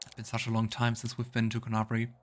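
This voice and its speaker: A man's voice: medium pitch, completely flat delivery, fast, slight background noise. A man giving casual let's play commentary on a video game, musing aloud to himself as he goes. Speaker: streamer playing and commenting on a game.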